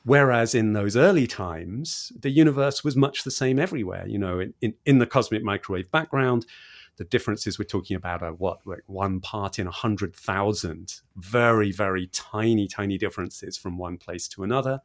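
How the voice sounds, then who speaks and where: A man's voice: on the low side, normal speed. A man explaining science in conversation as an interview guest, with an enthusiastic manner and repetition for emphasis. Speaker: podcast guest, cosmologist explaining research.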